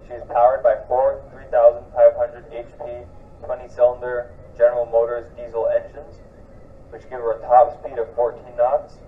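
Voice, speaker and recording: A man's voice: medium pitch, phone-quality sound. A man announcing a ship to onlookers over a public address system, steadily reading facts. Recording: distant loudspeaker with faint noise.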